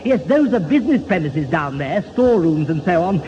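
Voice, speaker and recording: A man's voice: high voice, sing-song, a little fast, crowd noise. An actor playing a prissy, pompous, dithering English man in an old radio sitcom, fussy and genteel in manner. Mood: earnest, pompous. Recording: dull, slightly noisy old radio recording.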